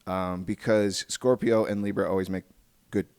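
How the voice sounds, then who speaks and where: A man hosting a podcast in casual interview chat, halting and often restarting his phrases. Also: deep voice, fairly even intonation, darkish tone, clean tone. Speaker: podcast host. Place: podcast recording.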